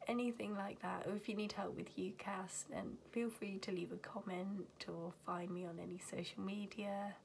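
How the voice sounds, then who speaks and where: A young woman from the UK, a university student, chatting to camera in her bedroom, her voice raspy from being ill and often half-whispered. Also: mid-range voice, fairly even intonation, normal speed, few pauses, slight background noise. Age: young adult. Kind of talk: casual chat to camera. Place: bedroom at home, indoors.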